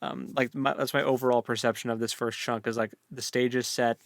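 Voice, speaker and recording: A young man co-hosting a book discussion, chatting informally and enthusiastically in halting phrases. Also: medium pitch, flat delivery, gravelly, no room sound. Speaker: book podcast co-host.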